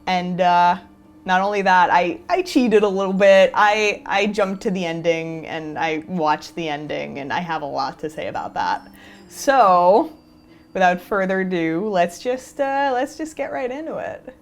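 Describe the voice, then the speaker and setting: A woman's voice: on the low side, measured pace, soft background music. A woman co-hosting a casual podcast chat, laid-back and wry, tossing in joking asides. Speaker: podcast co-host.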